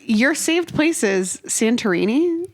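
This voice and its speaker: A woman's voice: a little high, lively intonation, takes it slowly. A woman hosting a podcast in casual chat, with a curious, questioning manner. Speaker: podcast host.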